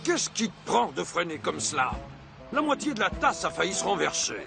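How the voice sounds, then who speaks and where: Gravelly, fussy senior officer. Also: male voice, sits high, sing-song, moderate pace, full character voice. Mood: indignant. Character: fussy, stern senior officer, adult man. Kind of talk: animated cartoon dub acting. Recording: loud music over the voice.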